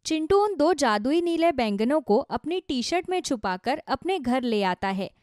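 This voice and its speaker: A woman's voice: high voice, very animated, moderate pace, darkish tone, no echo, clean studio sound. A woman narrating a scripted children's story.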